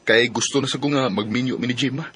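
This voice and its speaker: An actor playing an earnest young working man in love in a Bisaya radio drama, with a Cebuano accent and an emotive, halting delivery. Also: medium pitch, fairly even intonation, fairly bright tone, husky. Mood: wistful.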